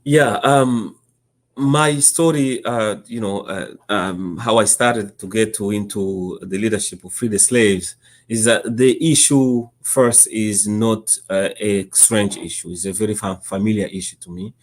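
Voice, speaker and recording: Low-voiced Congolese man, halting speech. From Democratic Republic of Congo. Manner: halting, stammering repetitions of word starts. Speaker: interview guest, nonprofit executive director. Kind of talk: interview answers.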